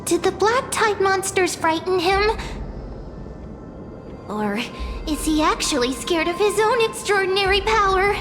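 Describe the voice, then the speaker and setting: A woman's voice: very high voice, lively intonation, unhurried, long pauses, big-room sound. A woman voicing a small, cheerful, childlike fairy creature in a video game, curious and exclamatory. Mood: curious, chirpy. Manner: exclamatory, chirpy, inquisitive. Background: music.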